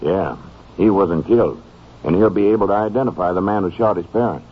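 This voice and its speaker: A man's voice: low voice, brisk, husky. An American man playing a folksy, commanding sheriff with curt delivery in 1950s radio drama style. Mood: matter-of-fact. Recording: dull, noisy 1950s radio recording.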